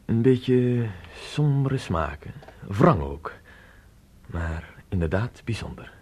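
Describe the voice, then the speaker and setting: A man acting a polite, well-to-do traveller in a radio drama, speaking in a measured, formal way. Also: medium pitch, very animated, darkish tone, husky, full character voice. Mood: polite, musing. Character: courteous well-to-do male traveller at a country inn. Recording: old radio play recording, hissy, clicks.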